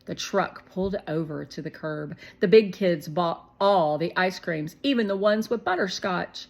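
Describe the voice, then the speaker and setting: A woman narrating a children's storybook in an expressive, deliberate way. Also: on the low side, creaky. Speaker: read-aloud narrator.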